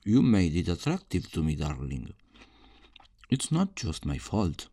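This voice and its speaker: A man's voice: big pitch swings, unhurried, warm tone, a little hiss or hum, heavily acted. A man playing a cocky, flirtatious thief in a fantasy roleplay, teasing and theatrical, speaking straight to the listener. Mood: teasing, amused. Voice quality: low voice. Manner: teasing, theatrical, smugly self-assured, uses endearments.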